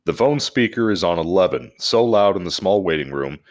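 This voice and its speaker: Male podcast host, deep register, casual and joking, comfortable with profanity. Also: animated, fairly quick. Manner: profane, jokey. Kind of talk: casual podcast chat and animated story reading.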